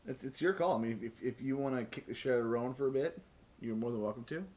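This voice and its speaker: A man's voice: medium pitch, fast, a little mellow. A man hosting a tabletop game stream as game master, talking casually and jokily with plenty of swearing. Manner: profane, joking, laughs often.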